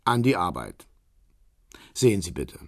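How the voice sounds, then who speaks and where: An actor playing a shrewd, businesslike Russian man in a radio crime drama, speaking in a formal, measured way like someone giving a briefing. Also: deep voice, sing-song, creaky, no echo, clean studio sound, heavily acted. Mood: hospitable, brisk.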